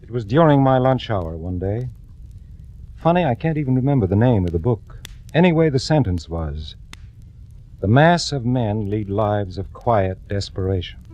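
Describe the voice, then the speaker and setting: Guy acting a fed up little bank clerk, old radio drama, low voice, wry n brooding, muffled sound w music. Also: lively intonation, moderate pace, mellow, natural voice. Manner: confiding first-person storytelling, wry and brooding. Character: meek, discontented male bank clerk telling his story. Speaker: narrator and lead actor.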